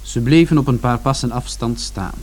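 An actor playing a reflective son who narrates a bleak family story in a radio drama. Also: male voice, mid-range voice, normal speed, a little mellow, natural voice. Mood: matter-of-fact, sombre. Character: George, reflective younger son recalling his family's bleak Saturday. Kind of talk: scripted first-person narration in radio drama.

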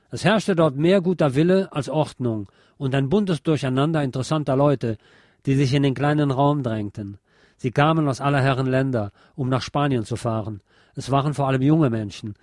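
A man's voice: mid-range voice, a little mellow, no echo, studio quality. A man reading a scripted history documentary as narrator. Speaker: narrator of a history radio series.

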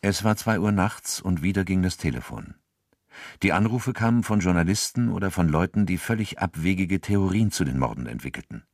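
An actor narrating a true-crime radio drama in a sober, scripted storytelling manner. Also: male voice, low-pitched, lively intonation, a little fast, no room sound, studio quality.

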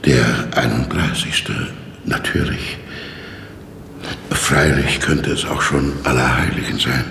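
A fussy, apologetic prison warder in an old radio play, rambling and flustered. His voice is extremely low with a gravelly creak, and it rings with long reverb. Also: slow pace, bright, forceful tone, full character voice. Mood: apologetic, weary. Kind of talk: 1960s German radio drama acting. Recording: echoey stone-walled reverb, noisy old radio recording.

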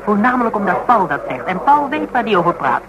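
A woman's voice: medium pitch, big pitch swings, fast, close to an everyday voice, rough recording. Actress as an outspoken, stubborn Dutch woman arguing. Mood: insistent. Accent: Netherlands Dutch. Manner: emphatic, argumentative, colloquial, teasing. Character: outspoken woman reasoning stubbornly with a fanatic cop. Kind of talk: naturalistic radio drama dialogue.

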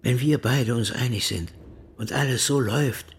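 An actor playing a scheming, world-weary secret agent in a German radio drama. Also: male voice, medium pitch, normal speed, breathy edge. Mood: calculating.

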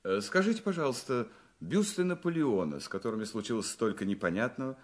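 A man acting a courteous, probing, confident detective in a Russian audio play, questioning politely and deliberately. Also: medium pitch, lively intonation, lightly acted. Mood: polite, inquisitive.

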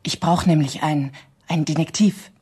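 A woman's voice: low-pitched, steady tone, normal speed, dark tone, breathy edge. A woman playing an anxious, naive client in a detective radio drama, polite and hesitant. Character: timid, anxious female client seeking a detective.

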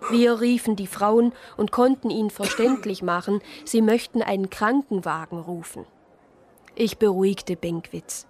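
An actress playing a reflective Protestant sister who narrates a crime story in a radio drama. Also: female voice, medium pitch, a little slow, darkish tone, lightly acted. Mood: composed narrating. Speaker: narrator and lead character.

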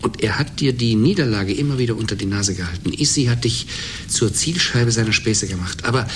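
An actor playing a tired, resigned middle-aged man in a German radio drama, speaking in a subdued, measured way. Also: lowish voice, lightly acted. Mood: subdued, earnest. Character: weary, resigned middle-aged chess player, quietly defensive. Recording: noisy 1980s radio drama recording.

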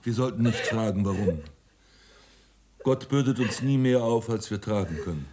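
Soothing male priest played by an actor, lower register, gentle and hesitant, radio drama, noticeable background noise. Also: moderate pace, natural voice. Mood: consoling. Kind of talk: German radio drama acting.

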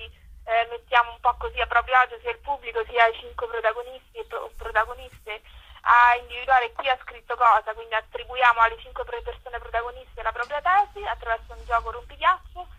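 A woman's voice: a little high, bright, forceful tone, poor audio. A woman speaking as a guest in a radio interview, explaining her project in enthusiastic, long flowing sentences.

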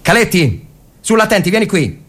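A man's voice: high voice, very animated, normal speed, fairly bright tone, slightly rough, pitched above the performer's own voice, exaggerated voice. An actor playing a tense young lieutenant in a war story, barking orders and brooding over his men. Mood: stern, careful. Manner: barks orders, then broods. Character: tense young army lieutenant in the trenches, commanding, anguished.

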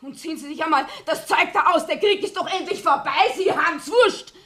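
A furious wife in a radio drama, very high and piercing, with a sharp edge in an echoey room. She scolds defiantly and fearlessly, in plain words. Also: brisk. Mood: indignant. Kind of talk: radio play acting.

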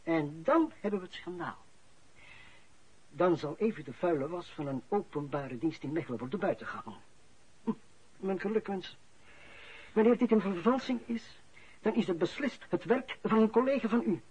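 An actor playing a shrewd, formal senior civil servant in a radio play, speaking in a measured, officious way. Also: male voice, sits high, lively intonation, darkish tone, slightly rough. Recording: dull, muffled radio play recording.

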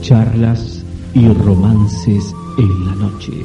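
A man reads a scripted radio programme introduction in a formal, measured way. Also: on the low side, flat delivery. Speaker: radio announcer. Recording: dull old radio recording under music. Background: prominent New-age music.

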